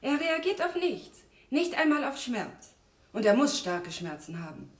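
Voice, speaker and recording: Distant, compassionate medic. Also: female voice, high voice, sing-song, unhurried. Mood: matter-of-fact. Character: matter-of-fact, compassionate female medical attendant. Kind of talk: German science-fiction radio play acting. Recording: distant, echoey radio drama room.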